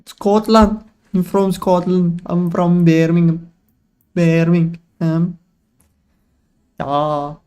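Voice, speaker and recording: A man's voice: high voice, little pitch movement, very slow, pauses often, a little mellow, clear, resonant tone. A young man hosting a live trading stream, joking with chat in slang and explaining chart setups in loose bursts. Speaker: livestream trading host.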